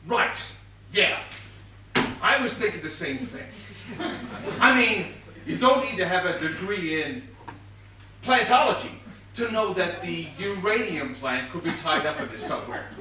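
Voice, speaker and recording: An actor playing a bumbling, overconfident police inspector in a comic stage whodunit, blustering and slangy in manner. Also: male voice, sits high, big pitch swings, unhurried, pauses often, a crowd in the background. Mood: blustering, covering up, then excited, cocksure. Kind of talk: comic stage play acting. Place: theatre stage, mic far off. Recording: distant, echoey theatre stage recording, faint hiss.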